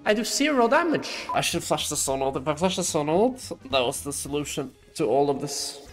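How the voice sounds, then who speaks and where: A young man from Norway, a native Norwegian speaker, joking through live gameplay commentary and chat banter, swearing and shouting at big moments in the game. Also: fairly high pitch, big pitch swings, fairly quick, faint music behind. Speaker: streamer.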